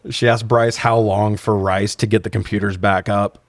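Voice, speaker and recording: A man's voice: fairly low pitch, level tone, normal speed, no echo, clean studio sound. A man co-hosting a podcast, giving a casual, sardonic film recap with plenty of swearing. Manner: sardonic, profane. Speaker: podcast co-host.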